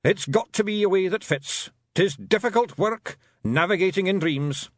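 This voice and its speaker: Guy putting on a loud bossy scottish pixie boss, tiny fighter, pitched up high and bouncy, super dry sound. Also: animated, normal speed, no echo. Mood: blustering. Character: boisterous tiny male pixie clan leader.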